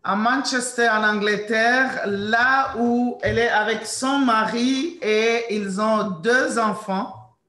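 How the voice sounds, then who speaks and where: A woman hosting an interview, introducing her guest in a slow, hesitant way and slipping into English now and then. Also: fairly high pitch, a bit bright, reverberant. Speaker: interview host.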